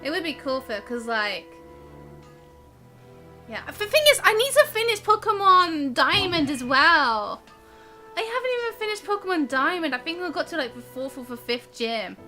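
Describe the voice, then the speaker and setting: Woman, game streamer, high-pitched, very lively intonation, casual chat, exclamatory, faint background music. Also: normal speed. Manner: exclamatory, expressive.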